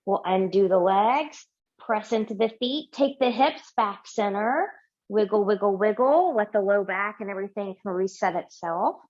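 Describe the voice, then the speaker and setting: A middle-aged woman leading a yin yoga class, giving slow, gentle cues and affirmations while lying on a mat in a small home studio. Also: mid-range voice, highs cut off. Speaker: yoga teacher. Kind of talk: guided yoga class instruction.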